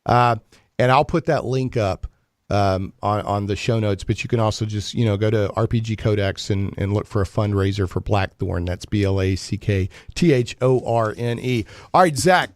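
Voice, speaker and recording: A man's voice: on the low side, moderate pace, no echo. A man hosting a talk show, chatting casually in a rambling, joking, mock-serious way. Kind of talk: casual chatty radio banter.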